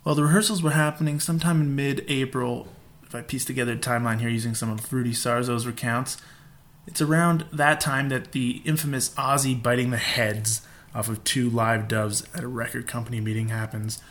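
A man's voice: medium pitch, steady tone, brisk, a bit bright. A man hosting a podcast in a casual monologue, irreverent and profane, with laughing asides. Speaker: podcast host.

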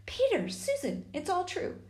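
A woman's voice: very high voice, huge pitch swings, measured pace, darkish tone, roomy sound, voice pushed up, heavily acted. A woman voicing an earnest, insistent young girl in a storybook reading. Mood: urgent.

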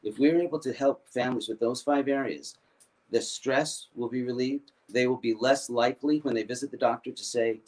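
An older American man telling a personal story in a halting way, often repeating and restarting his words. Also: medium pitch, steady tone, a little mellow, rough recording. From United States. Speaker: foundation founder.

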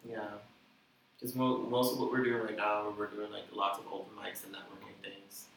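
A young man from the Bahamas, chatting as a guest in an interview, full of fillers and quick laughs. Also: lowish voice, fairly even intonation, vocal fry, fairly bright tone. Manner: chatty, laughing, many "like" and "you know" fillers. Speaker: musician guest. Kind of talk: casual interview chat. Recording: echoey room, distant mic, light noise.

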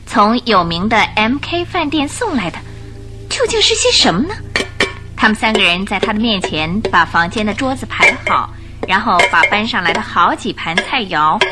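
Expressive woman narrating a radio drama. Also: mid-range voice. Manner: expressive, theatrical.

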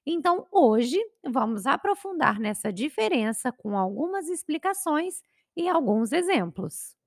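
A woman's voice: sits high, very animated, measured pace. A Brazilian woman with a Brazilian Portuguese accent teaching grammar, carefully enunciating each conjugation. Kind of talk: slow, clear grammar lesson.

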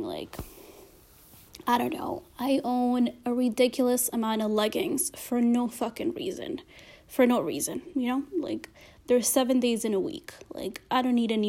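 A woman's voice: on the high side, a little mellow. Casual swearing woman musing aloud. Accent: non-native accent. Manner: casual, swears often, many fillers. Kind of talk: casual reflective monologue.

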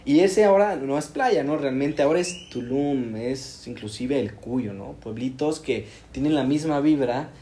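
A man's voice: mid-range voice, animated, a little slow, few pauses, warm tone. A Mexican man in casual chat, reflecting and explaining at length.

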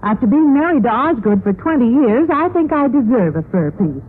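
An actress playing an earnest, scheming middle-aged housewife in a chatty, confiding manner in a vintage radio sitcom. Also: female voice, a little high, sing-song, a little fast, breathy edge. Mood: confiding, eager. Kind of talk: 1950s radio sitcom acting. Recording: tinny, noisy old-time radio recording.

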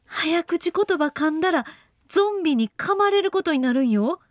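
A woman's voice: extremely high pitch, expressive, normal speed, dry recording, clean studio sound. An actress with a Kansai accent playing a frightened, exasperated young woman fleeing zombies in a comedic radio drama, incredulous and pleading.

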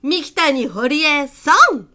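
Woman acting a high-pitched theatrical business-coach hypnotist. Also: expressive, slow pace, very noisy. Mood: incantatory, grandiose. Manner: teasing, condescending, dramatic incantations. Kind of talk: comedic radio drama acting.

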